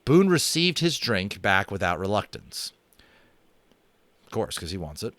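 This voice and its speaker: A man's voice: lowish voice, very animated, unhurried, fairly bright tone, no echo. A man narrating a children's book aloud, breaking off for chatty asides to listeners. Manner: chatty, aside-prone, self-correcting. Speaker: audiobook narrator.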